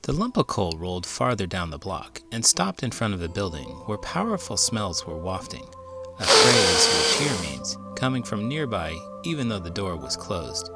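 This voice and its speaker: A man reading a children's book aloud as an expressive storyteller, in the manner of an elementary school teacher. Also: sits low, moderate pace, over loud music.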